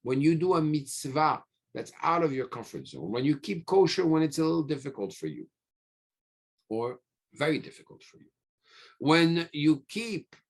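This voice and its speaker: Guy giving a rabbi's sermon, kinda high voice w/ lots of fry, asks questions then answers them. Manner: emphatic, pausing often, repeats phrases and asks rhetorical questions. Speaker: rabbi giving a religious lecture. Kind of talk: sermon, teaching through scripture stories.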